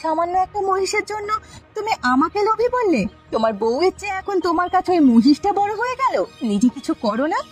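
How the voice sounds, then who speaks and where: A woman's voice: very high-pitched, sing-song, fast, faint music behind. A woman voicing a domineering, resentful village wife in a cartoon folk tale, nagging and threatening. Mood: offended, angry.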